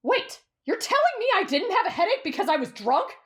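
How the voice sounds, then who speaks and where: A woman voicing an anxious, melodramatic young man in an anime fan roleplay, fretful and excitable. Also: extremely high pitch, brisk. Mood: distraught, indignant. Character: anxious, whiny, dramatic, devoted young man.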